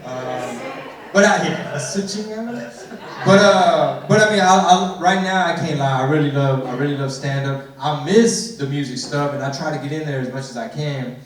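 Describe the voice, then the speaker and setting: A laid-back Texan man hosts a live podcast from a comedy club stage, his voice pitched a bit high. He mixes in Spanish slang and chuckles through his stories. He is heard from a distance in a very echoey room. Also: moderate pace.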